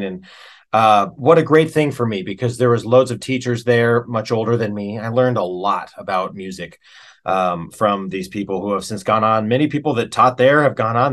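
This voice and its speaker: A middle-aged American man talking casually as an interview guest, telling stories like a working musician, with pauses and emphasis on key words. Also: mid-range voice, a bit bright. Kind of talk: casual conversational storytelling.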